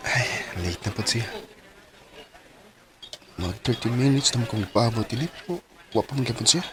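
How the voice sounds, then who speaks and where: A man playing earnest young Marco, loud narration. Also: mid-range voice, measured pace, a crowd in the background, lightly acted. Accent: Cebuano. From Bohol, Philippines. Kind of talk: radio drama narration and acting.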